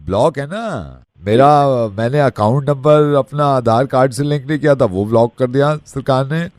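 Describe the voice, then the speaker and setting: A man playing a naive, slow-witted patient on a prank call, hesitant, earnest and deadpan. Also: medium pitch, no echo, studio quality, pitched above the performer's own voice, close to an everyday voice.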